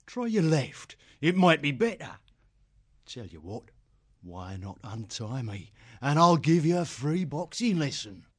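A man's voice: a little high, very animated, a little slow, heavily acted. A man voicing a tough, folksy male hare who is a boxing sergeant. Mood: taunting. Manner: rough folksy speech, taunting.

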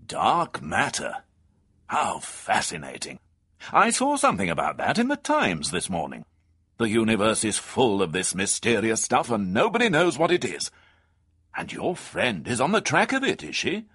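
A man voicing a genteel, cunning old gentleman with a suave, drawling manner. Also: fairly low pitch, huge pitch swings, moderate pace, husky, full character voice.

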